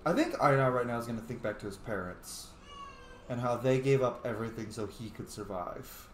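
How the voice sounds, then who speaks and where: Casting: male let's player, mid-pitched and very animated, informal game commentary, roomy echo. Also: brisk. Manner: informal, reactive, explains lore to viewers. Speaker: gaming host, role-playing let's player. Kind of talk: casual game playthrough commentary. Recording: echoey room with faint noise.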